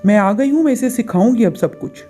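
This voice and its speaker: Woman playing a bossy, mellow-voiced demanding older aunt. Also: on the high side, animated, fairly quick, soft background music, lightly acted. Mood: complaining. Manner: commanding, complaining.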